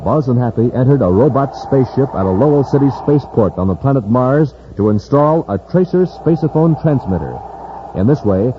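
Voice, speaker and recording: A male 1950s radio announcer with a mid-range, highly animated delivery. He reads scripted copy in a punchy, theatrical way. The recording is muffled and noisy.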